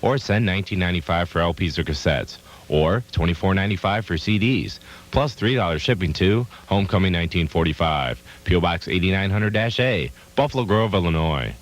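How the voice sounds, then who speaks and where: A man reading a commercial's ordering details in a brisk, even announcer manner. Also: low voice. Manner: brisk, even read-out of numbers. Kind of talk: scripted ordering info voice-over.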